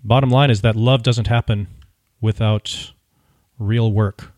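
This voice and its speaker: A man co-hosting a podcast in casual conversation, speaking in halting, searching phrases. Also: fairly low pitch, steady tone, darkish tone, dry recording. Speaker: podcast co-host.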